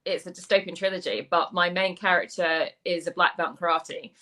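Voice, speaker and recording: A woman hosts a casual video chat with an author. She is effusive and enthusiastic, speaking in a fairly low voice. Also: fairly even intonation, fairly quick, sharp tone. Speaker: host.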